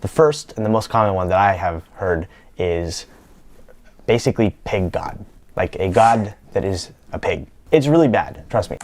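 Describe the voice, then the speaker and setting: A man's voice: sits low, moderate pace, darkish tone. A young man from the United States presenting a scripted vlog in a playful, lightly joking manner. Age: young adult. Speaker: YouTube creator.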